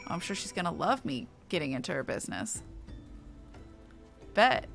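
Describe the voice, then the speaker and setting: A woman's voice: fairly low pitch, sing-song, moderate pace, vocal fry, a bit bright, soft background music. A woman game streamer giving casual, playful commentary as she plays.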